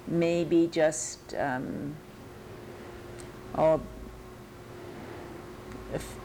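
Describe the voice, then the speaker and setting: An older American woman with a New York accent, recalling her teaching years in an oral history interview, pausing and restarting as she searches her memory. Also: low-pitched, steady tone, dark tone, a little hiss or hum, rough recording. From United States.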